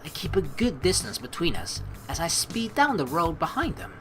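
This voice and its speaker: A woman with a slightly low, lively voice hosts a game playthrough. She moves between reading the story aloud and casual, rambling asides, with swooping intonation. Music plays softly behind her. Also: normal speed. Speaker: let's play host.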